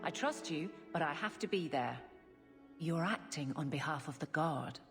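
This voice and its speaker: A principled female city guard in a fantasy game. She is brisk and no-nonsense, pitched slightly below the middle for a woman, with music faintly underneath. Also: animated. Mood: firm, businesslike. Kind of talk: video game voice acting.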